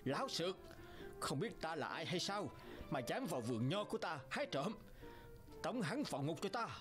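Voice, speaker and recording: A man voicing a greedy, theatrical cartoon king, lively pitch. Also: normal speed, over music. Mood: outraged. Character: greedy, imperious middle-aged male king, fawning to useful guests. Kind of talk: cartoon fairy-tale voice acting.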